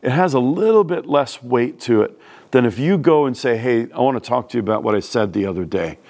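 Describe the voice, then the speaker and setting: A man's voice: medium pitch, expressive, brisk, a little mellow. A man from New York City with a New York accent, preaching a sermon in a plain-spoken, conversational way. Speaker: pastor.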